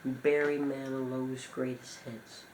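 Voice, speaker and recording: A man giving an informal show-and-tell commentary as a collector, talking in short, halting phrases. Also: mid-range voice, level tone. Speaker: cassette collector presenting a collection. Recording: echoey room with clear background noise.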